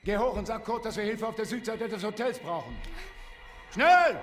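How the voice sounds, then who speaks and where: An actor playing a harsh, impatient German army soldier in a cinematic war game, giving curt commands. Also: male voice, high voice, lively intonation, normal speed, fairly bright tone, in a large hall, faint music behind. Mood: urgent, commanding.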